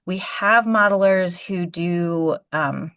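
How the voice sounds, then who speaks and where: A woman's voice: on the low side, slow pace, a bit bright, clean tone, no echo. A woman solar physicist answering a question in a webinar over a video call, thoughtful, with many ums and restarts.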